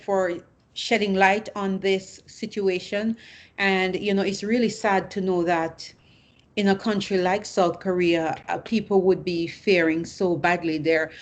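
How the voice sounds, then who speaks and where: Female host, slightly low voice, earnest and steady wrap-up. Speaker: interview host. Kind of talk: interview hosting.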